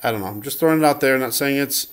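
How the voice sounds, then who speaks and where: A man's voice: mid-range voice, rapid-fire, smooth. Hesitant, rambling man's voice. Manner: hesitant, rambling, self-correcting. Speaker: vlogger. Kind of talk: casual unscripted map commentary.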